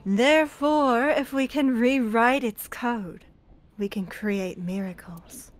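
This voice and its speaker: A woman's voice: fairly high pitch, slow. A woman voicing an eerie, smug woman who preaches cryptically in an amateur video game fandub. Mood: ominous. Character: Tokiko, eerie, smug woman preaching about false reality.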